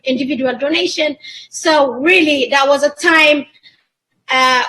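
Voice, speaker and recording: Woman, very high voice, Ethiopian-born and raised in Israel; restaurant-owner guest in an interview. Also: sharp tone, poor audio. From born in Ethiopia, grew up in Israel. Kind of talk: interview answers.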